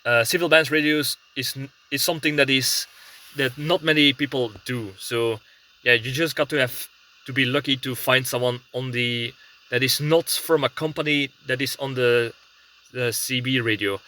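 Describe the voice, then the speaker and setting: Male vlogger, Belgian accent, mid-pitched sharp bright voice, casual and hesitant delivery, often searching for words. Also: steady tone, moderate pace, a little hiss or hum. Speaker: prepper video blogger. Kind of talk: casual rambling vlog talk. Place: inside a parked car.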